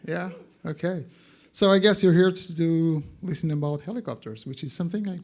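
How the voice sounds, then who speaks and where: A man's voice: a little high, fairly quick, darkish tone. A man with a Portuguese accent giving an informal live presentation, talking directly to his audience in a conversational way. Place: in front of an audience at an expo.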